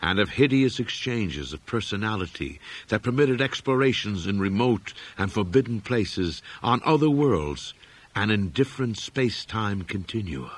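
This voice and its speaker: A man narrating gothic horror fiction as an audiobook, in a measured, expressive literary reading. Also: lowish voice.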